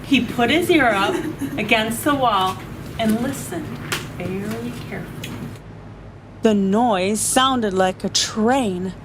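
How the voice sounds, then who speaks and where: A woman's voice: a little high, slow, noisy background. A woman reading a children's picture book aloud as a story-time reader, playful and often chuckling.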